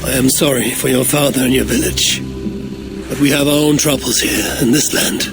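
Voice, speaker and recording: A man's voice: mid-range voice, steady tone, moderate pace, vocal fry, over music, natural voice. A man playing a wry, wary Viking chief. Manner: dry, wary. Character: shrewd Viking clan leader, wry and guarded.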